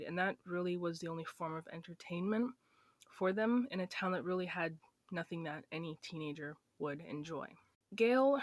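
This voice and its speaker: Fast-talking young woman with flat monotone delivery. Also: fairly low pitch, dry recording. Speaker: podcast host. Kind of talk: true crime podcast narration.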